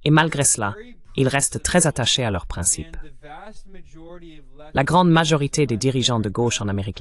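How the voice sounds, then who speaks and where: A man delivering a voice-over translation of political commentary in a measured, explanatory style. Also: mid-range voice.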